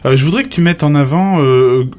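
A man of Portuguese origin interviewing a guest in a relaxed chat. He talks hesitantly, restarting his sentences and hunting for the right term. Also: fairly high pitch, fairly quick. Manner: hesitant, restarts, searches for words. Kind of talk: casual conversation.

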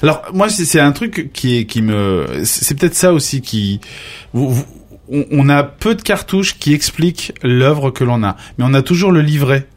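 A man's voice: medium pitch, expressive, moderate pace. A man of Portuguese origin leading a casual conversation as interviewer, hesitant, restarting his sentences while he searches for words.

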